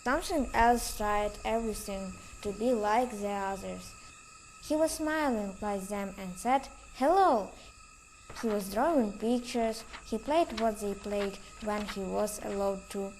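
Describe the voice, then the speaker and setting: A woman's voice: mid-range voice, measured pace, darkish tone, a little hiss or hum, poor audio. A woman reading scripted narration for a children's audio play.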